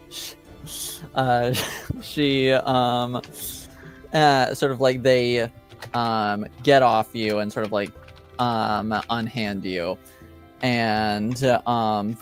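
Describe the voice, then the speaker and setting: Slow, halting man running a tabletop game. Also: medium pitch. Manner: halting, searching for words. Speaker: game master. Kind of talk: improvised tabletop game narration.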